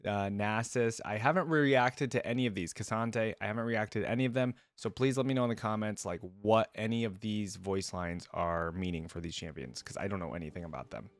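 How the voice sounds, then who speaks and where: A man's voice: fairly low pitch, brisk, few pauses, dry recording. A man hosting a reaction video with casual, informal commentary, thinking aloud as he goes. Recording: dry close voice, music behind.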